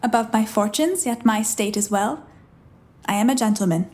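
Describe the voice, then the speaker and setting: An ardent young messenger of Shakespearean audio drama, a woman acting a girl in page's disguise. The voice is on the high side, earnest and courtly, declaiming with witty grace. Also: moderate pace, a little mellow, lightly acted. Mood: earnest, passionate.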